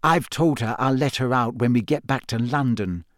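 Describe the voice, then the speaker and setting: A man's voice: mid-range voice, moderate pace, dry recording, studio quality, close to an everyday voice. A man voicing an earnest, self-assured teenage schoolgirl in an audiobook. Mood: serene, satisfied. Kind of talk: audiobook character acting.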